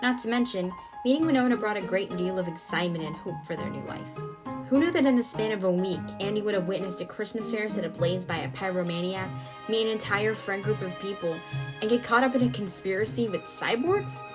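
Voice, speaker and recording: A woman's voice: medium pitch, fairly quick. A woman reading scripted narration for a story as its narrator. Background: music bed.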